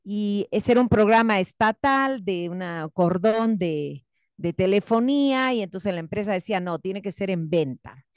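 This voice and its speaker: A woman's voice: medium pitch, lively intonation, unhurried, clean tone, no echo, clean studio sound. A woman from Peru with a Peruvian accent, raising concrete problems directly as a seminar audience member. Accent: Peruvian Spanish. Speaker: audience questioner.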